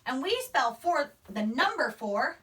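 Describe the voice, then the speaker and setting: Young woman, high-pitched, schoolteacher delivery, unhurried, emphatic sounding-out, slightly distant camera-mic sound. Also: sing-song, a bit bright. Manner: instructional, sounds out words and letters emphatically, checks with "right?". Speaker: primary school teacher. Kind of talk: phonics lesson for young children. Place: small indoor room, in front of a whiteboard. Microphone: camera microphone a few steps away.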